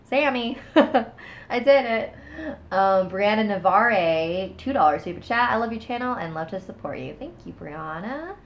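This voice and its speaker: A woman's voice: mid-range voice, expressive, a little slow, a little hiss or hum. A woman hosting a casual livestream, chatting with viewers and reading scary stories, a bit stuffy-nosed, with a chatty, giggly manner. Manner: chatty, thanks viewers, laughs, trails off. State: stuffy nose. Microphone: phone on a small stand.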